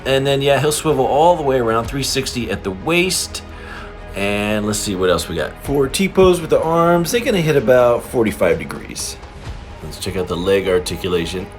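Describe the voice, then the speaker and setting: A man's voice: mid-range voice, animated, normal speed, soft background music. A man hosting a casual toy review in a playful, informal, slangy way, commenting as he handles figures.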